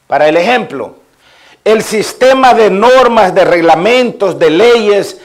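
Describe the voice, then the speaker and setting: A man's voice: high-pitched, expressive, slow. A man in his fifties from El Salvador with a Salvadoran Spanish accent, preaching a sermon as a pastor in a repetitive, listing cadence with frequent amens.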